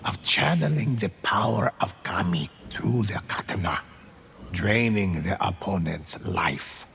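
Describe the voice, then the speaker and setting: An actor playing a solemn old storyteller in a video game, narrating samurai legends gravely and theatrically with a gravelly voice. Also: male voice, low-pitched, measured pace, slight background noise, exaggerated voice. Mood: solemn, instructive. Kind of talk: dramatic scripted narration. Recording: loud music under the voice. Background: loud cinematic game music.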